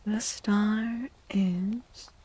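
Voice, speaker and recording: A woman speaking in a soft ASMR style as a streamer doing a tarot reading, hushed and often slipping into whispers. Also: medium pitch, steady tone, drawn-out words, breathy edge.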